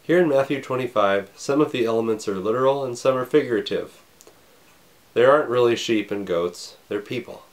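A man in his thirties, a pastor, preaching a recorded sermon from his home study with a scratchy voice and a slight cough, earnest and measured. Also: medium pitch. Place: home study with bookshelves, indoors.

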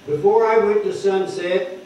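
Older preacher in a roomy, echoing hall. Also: male voice, high voice, unhurried, recorded from a distance, slight background noise. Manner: explains word by word, stresses key words loudly. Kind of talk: sermon teaching scripture. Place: wood-paneled church hall, speaking at a lectern. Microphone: microphone on the lectern, heard from a distant camera. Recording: echoey hall heard from afar, dull.

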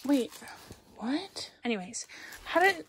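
A woman's voice: sits high, sing-song, slow, slight background noise. Young mom vlogger in her bedroom, rambling and casual, keeps dropping to a near-whisper, phone mic. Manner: chatty, rambling, often drops to a hushed voice. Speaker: parent reviewing a baby product. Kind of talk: casual product review. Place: carpeted bedroom at home. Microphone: handheld phone camera.

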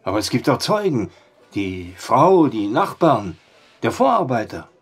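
An actor playing a gruff, sceptical war-veteran barkeeper in an audio drama, blunt, down-to-earth and husky. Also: male voice, mid-range voice, big pitch swings, unhurried, faint music behind.

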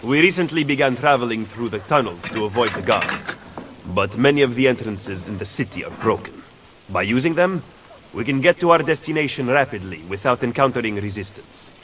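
A man's voice: medium pitch, lively intonation, a little fast, fairly bright tone, a little hiss or hum, close to an everyday voice, rough recording. A man playing an earnest young Roman rebel. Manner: earnest, urgent. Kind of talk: video game cutscene dialogue.

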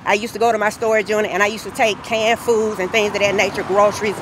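A woman narrating a walking vlog on city streets, filming on her phone, telling her story in an earnest, steady way. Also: medium pitch, fast. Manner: earnest, steady, recounting grievances. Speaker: vlogger. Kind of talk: walking tour vlog, personal account. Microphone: phone camera held while walking. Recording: faint street noise outdoors.

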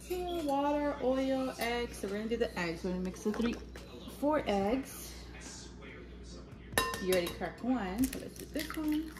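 A woman's voice: fairly high pitch, expressive, unhurried, echoey room, a crowd in the background. A young woman filming a casual cooking vlog with her toddler, giving sing-song encouragement in a home kitchen. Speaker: vlogger, mother. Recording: background music over kitchen sounds.

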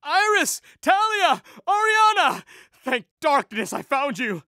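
High, whiny young male villain. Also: sing-song, slow, bright, forceful tone, no room sound, studio quality, exaggerated voice. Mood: frantic pleading. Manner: melodramatic, whiny pleading. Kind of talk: animated series acting.

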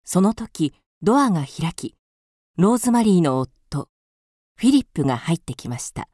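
Low, mellow woman narrating a story. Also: sing-song, moderate pace, no room sound, studio quality. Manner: composed storytelling. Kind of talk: scripted radio drama narration.

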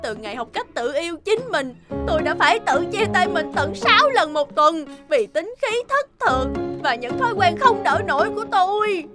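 Fed-up, high-voiced cartoon office worker. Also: female voice, very animated, normal speed, few pauses. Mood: exasperated. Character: bitter, exasperated cartoon office woman, fed up. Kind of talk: comic cartoon dubbing. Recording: children's music behind the voice.

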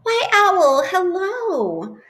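A woman doing a squeaky clever little mouse. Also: sing-song, drawn-out words, fairly bright tone, full character voice. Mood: cheerful. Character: small clever male mouse, bold and cheeky.

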